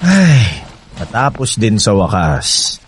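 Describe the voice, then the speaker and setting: An actor playing a devoted young Filipino boyfriend in a radio drama, sweet-talking, playful and coaxing. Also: male voice, on the low side, huge pitch swings, slow, husky, full character voice. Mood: relieved, casual. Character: devoted, reassuring young boyfriend heading abroad.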